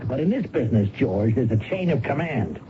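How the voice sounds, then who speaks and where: An actor playing an irritable, hard-nosed criminal in an old-time radio mystery drama. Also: male voice, medium pitch, very animated, a little fast, natural voice. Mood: irritated, firm. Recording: muffled, noisy old radio recording.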